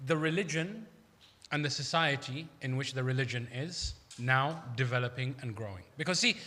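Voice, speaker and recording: A man's voice: a little high, pushed and bright. A man from Iraq preaching a sermon in a conversational, emphatic manner, with rhetorical questions. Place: large hall at an Islamic centre. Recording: echoey hall with long reverb.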